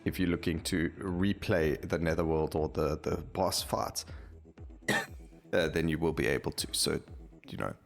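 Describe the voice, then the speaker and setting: A man's voice: extremely low pitch, fairly even intonation, measured pace, gravelly, soft background music. A man giving casual gameplay commentary as the host of a gaming video.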